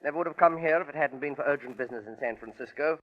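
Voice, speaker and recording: An actor playing an eccentric, chatty English gentleman with posh, fussily polite old-fashioned talk in 1940s radio style. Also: on the high side, very fast, close to an everyday voice. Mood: fussy, apologetic. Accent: British. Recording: muffled vintage 1940s radio recording.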